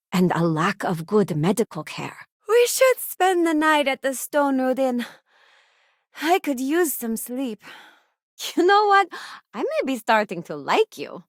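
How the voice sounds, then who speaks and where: A woman's voice: high-pitched, no echo, heavily acted. Young space crew woman for a video game, earnest n frank, idealistic, really dry studio sound. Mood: frank, casual. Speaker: video game companion character. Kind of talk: video game voice acting, short character lines.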